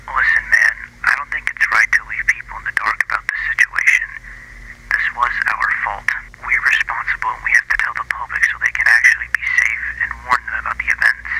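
A man's voice: medium pitch, level tone, fairly quick, bright, forceful tone. A man playing a guilt-ridden insider leaving an earnest, insistent warning voicemail in an analog horror piece. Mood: grave, urgent. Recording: noisy crackly phone voicemail.

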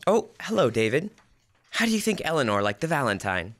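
A man's voice: medium pitch, very animated, fairly quick, slight background noise, lightly acted. A teenage boy playing a bumbling, nostalgic sitcom husband with flustered enthusiasm in old-time radio comedy style. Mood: puzzled, breezy. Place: school library room, stage microphone.

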